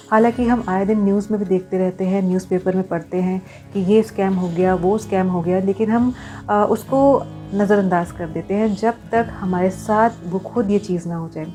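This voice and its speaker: A woman's voice: mid-range voice, dark tone. A woman talking to viewers in an earnest, explanatory vlog style, mixing in English phrases. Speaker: vlogger.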